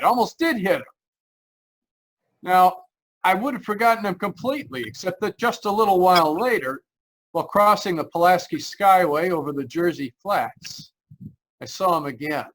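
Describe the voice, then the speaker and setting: A man acts out a troubled male motorist recounting his journey for a radio mystery play. His voice sits a little high. He speaks with dramatic unease, stammering and hesitating as he goes. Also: lively intonation, moderate pace, a bit bright, rough recording. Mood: agitated. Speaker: actor, lead narrator. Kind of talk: 1940s radio mystery acting. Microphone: remote video call.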